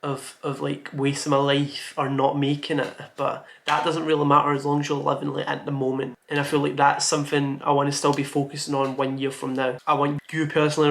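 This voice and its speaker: A man's voice: on the high side, a bit bright, reverberant, slight background noise. A young man around eighteen recording an earnest, self-reflective birthday vlog, rambling with lots of "like"s. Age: young adult, about 18 to 19. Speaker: vlogger. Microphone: camera mic.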